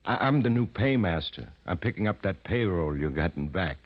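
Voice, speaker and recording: A man's voice: low voice, lively intonation, normal speed, husky, full character voice. An actor playing a tough, pushy gangster henchman with slangy, clipped talk in a 1940s radio drama. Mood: threatening, commanding. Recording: dull, lo-fi old radio recording.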